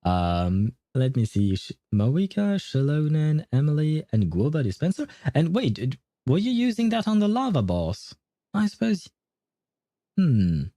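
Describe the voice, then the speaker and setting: Mellow man chatting on a game livestream. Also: a little high, big pitch swings, a little slow, dry recording, clean studio sound. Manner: rambling, thinking aloud. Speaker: livestream host, gamer. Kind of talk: casual livestream chat and game advice.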